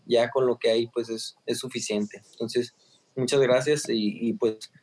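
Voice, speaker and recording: A man with a Sonoran accent, a podcast guest speaking in a halting, grateful way over a call. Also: medium pitch, steady tone, darkish tone, poor audio. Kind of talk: casual chat.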